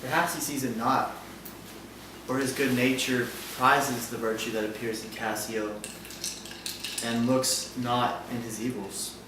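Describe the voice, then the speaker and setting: A man's voice: medium pitch, flat delivery, a little slow, close to an everyday voice. Guy playing earnest formal officer in a shakespeare play, echoey, far from mic, noisy. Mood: grave concern. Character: earnest, honorable officer and gentleman. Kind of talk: classical Shakespearean stage acting. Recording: distant, echoey, noisy stage recording.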